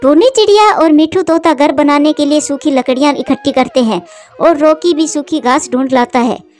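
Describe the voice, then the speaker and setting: Lady narrator for a kids bird story, high voice, soft music behind. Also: normal speed. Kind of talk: scripted children's story narration.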